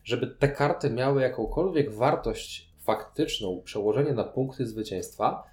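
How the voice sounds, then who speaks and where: A man's voice: medium pitch, animated, moderate pace, a little mellow, roomy sound, soft background music. A man co-hosting a board game podcast, explaining things in detail in a conversational way. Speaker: podcast co-host. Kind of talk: board game review chat.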